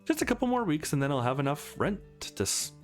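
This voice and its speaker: A man's voice: a little high, very animated, fast talker, soft background music. Man doing blunt, sassy young game heroine. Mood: casual, frank. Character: defiant, blunt eighteen-year-old young woman. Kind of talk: visual novel read-aloud.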